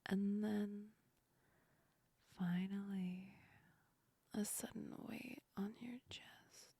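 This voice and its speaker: A woman playing a teasing yet comforting female sleep demon in a whispered ASMR roleplay, breathy and soft, speaking close to the ear. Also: mid-range voice, fairly even intonation, very slow, dry recording, exaggerated voice. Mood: menacing, slow. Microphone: very close ASMR microphone.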